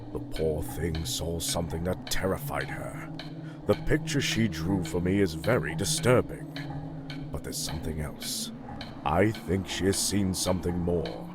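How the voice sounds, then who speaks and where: An actor playing an anxious older grandfather in a ghost story game, speaking gravely and earnestly with formal, theatrical phrasing. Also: deep voice. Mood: troubled, foreboding. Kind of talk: dramatic game narration and dialogue. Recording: music underneath, sometimes echoey.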